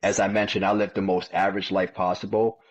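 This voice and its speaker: A man's voice: deep voice, level tone, quick pace, husky, lo-fi sound. A man in his thirties, a podcast guest, telling his story in casual chat and often repeating words. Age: 30s. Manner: repeats words often, tells stories plainly.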